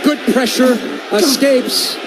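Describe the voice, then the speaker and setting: A man's voice: extremely high pitch, takes it slowly, background noise. An American man calling football play-by-play live on the radio. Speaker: play-by-play announcer.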